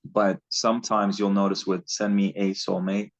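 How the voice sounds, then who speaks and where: Deep-voiced man, dull lo-fi call audio. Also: level tone, moderate pace, creaky. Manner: earnest, loose and rambling, laughs at own slips. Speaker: guest relationship coach. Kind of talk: casual spiritual advice talk.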